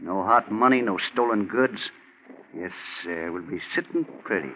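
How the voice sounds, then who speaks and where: A man's voice: lowish voice, normal speed, slight background noise, natural voice. An actor playing a sour, cautious crook with grumbling, sardonic tough-guy talk in a 1940s radio crime drama. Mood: calculating. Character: sour, skeptical male crook, cautious and weary of schemes. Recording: thin, tinny 1940s radio recording.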